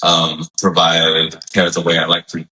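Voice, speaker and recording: Man, forties, from Hawaii, very low voice, crisp bright tone, relaxed friendly guest. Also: measured pace. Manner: friendly, easygoing, with fillers. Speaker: physical therapist guest. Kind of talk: casual interview.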